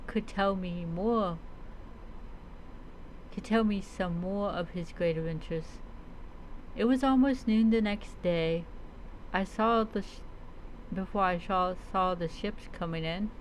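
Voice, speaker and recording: A woman's voice: on the low side, a little hiss or hum, poor audio. A woman reading a first-person adventure story aloud as a role-play storyteller, stumbling and repeating words as she goes.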